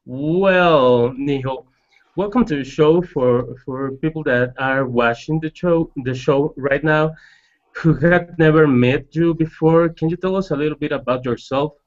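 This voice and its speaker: A man's voice: a little high, a little slow. A man hosting an online talk show, politely welcoming guests and stacking several questions in a row. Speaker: host. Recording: muffled lo-fi call audio.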